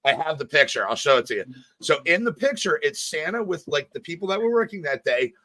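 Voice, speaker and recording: A man's voice: a little high, sing-song, a little fast, pushed and bright. A man from co-hosting a podcast, telling loose stories full of swearing and acted-out quotes. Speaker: podcast co-host. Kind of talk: casual podcast chat.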